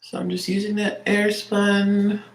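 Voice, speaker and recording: A woman's voice: medium pitch, level tone, a little slow, gravelly. Congested woman chatting in an echoey room. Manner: chatty, rambling, lots of "like". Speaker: beauty vlogger and teacher. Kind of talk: casual get-ready-with-me chat.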